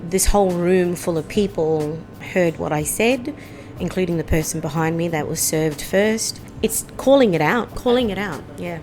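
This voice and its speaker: A woman's voice: on the low side, moderate pace, darkish tone, soft background music. A middle-aged woman telling a personal story in a reflective, firm, conversational way. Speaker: interviewee.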